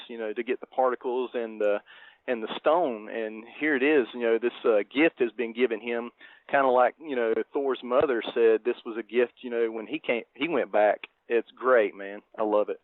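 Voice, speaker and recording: A man co-hosting a podcast, casually discussing a film in a halting, reflective, appreciative way. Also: mid-range voice, no room sound. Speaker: podcast co-host. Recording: thin phone-like line, dry.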